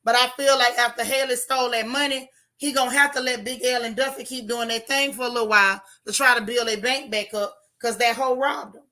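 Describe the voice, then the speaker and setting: A hoarse woman who hosts a channel. She reviews a TV show in a casual livestream, talking straight to her chat with animated, opinionated takes. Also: on the high side, brisk, hardly any pauses.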